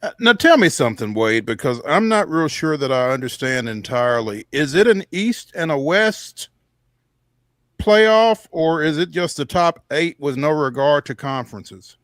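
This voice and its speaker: A man co-hosting a sports radio show, talking casually and deliberately with pauses. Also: on the high side, expressive, fairly bright tone, dry recording, clean studio sound.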